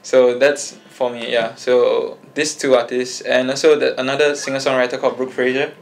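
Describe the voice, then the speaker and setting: A man's voice: mid-range voice, flat delivery, normal speed, vocal fry. A young man, a singer-songwriter guest chatting casually in an interview, easygoing and hesitant with many fillers and restarts.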